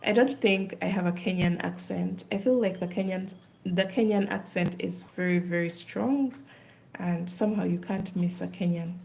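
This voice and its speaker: A woman's voice: on the low side, moderate pace, gravelly, a little mellow. A woman with a Ugandan accent, chatting casually on a live stream while she does craft work.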